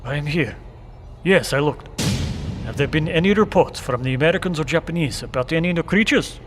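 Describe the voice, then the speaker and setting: An actor playing a wary, stoic watchman in a bunker, speaking deliberately and formally in an audio drama. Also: a little high, animated, close to an everyday voice. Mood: alarmed. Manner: deliberate, formal phrasing without contractions. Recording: echoey bunker reverb with background noise.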